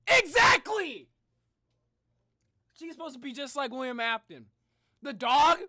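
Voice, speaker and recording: Excitable high-pitched man, very bright voice. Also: unhurried. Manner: excitable, repeats phrases, slangy. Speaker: streamer reacting to game videos. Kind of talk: casual live reaction commentary.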